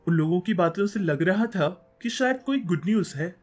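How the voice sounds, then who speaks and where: A man's voice: high voice, expressive, moderate pace, soft background music. A man playing a reassuring, affectionate husband in a story. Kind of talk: story dialogue acting.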